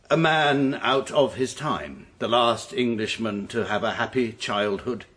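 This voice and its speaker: An actor playing a precise, pompous middle-aged barrister who narrates in a formal, deadpan way. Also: male voice, medium pitch, breathy edge, no room sound. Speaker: narrator and lead character. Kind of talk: radio drama narration.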